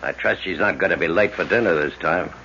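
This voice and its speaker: A man's voice: sits low, brisk, pushed and bright, slight background noise. An actor playing a domineering, scornful older father in a 1970s radio drama. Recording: dull old radio broadcast.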